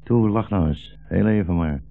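An actor playing a weary, gentle old blind rabbi in a 1960s radio play, speaking in a solemn, wry, rhetorical way. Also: male voice, low-pitched, normal speed, gravelly, no room sound. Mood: sad, tender. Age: older. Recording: dull 1960s radio recording, music behind.

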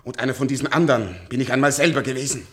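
A man's voice: medium pitch, very fast, lightly acted. An actor playing a very old, sardonic man in a science-fiction radio play, weary and defiant in manner. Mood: agitated accusation. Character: bitter, sardonic very old man kept alive as an experiment.

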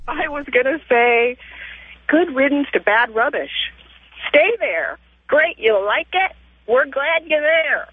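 A woman with a high voice calls in, curt and scornful. Her voice comes through a thin, tinny phone line, dry and close. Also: expressive, takes it slowly, no room sound. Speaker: caller.

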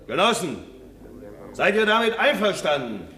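A man's voice: sits high, very animated, normal speed, sharp tone, full character voice. An actor playing a nervous workers' chairman in a radio play, stumbling and repeating himself as he addresses an assembly, in a roomy hall sound. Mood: nervous, official. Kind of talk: German radio play acting.

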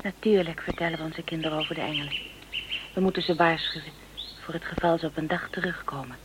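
A woman's voice: low-pitched, steady tone, fast talker, lightly acted. An actress playing an earnest, emotive young woman settling on a new planet in a radio play. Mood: solemn, hopeful. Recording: radio drama with faint noise.

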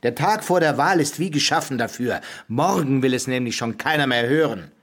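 A man's voice: medium pitch, normal speed, lightly acted. A male actor playing a sardonic, theatrical politician with a secret, mocking and florid, in a radio drama. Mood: scornful. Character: sardonic, theatrical politician hiding his ape origins.

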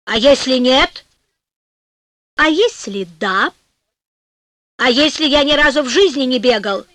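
Woman doing grumpy huge innkeeper guy in a fairytale, stubborn, blustery, kinda high voice, drawn out words. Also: expressive, measured pace, fairly bright tone, no echo. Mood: angry, indignant. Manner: indignant, blustering, emphatic.

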